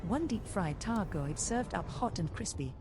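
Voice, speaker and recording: Woman playing a wry female ship computer under loud noise. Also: mid-range voice, animated, moderate pace, lightly acted. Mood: wry, courteous. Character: wry, courteous female spaceship computer assistant. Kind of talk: scripted voice-pack announcements. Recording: loud game noise over voice.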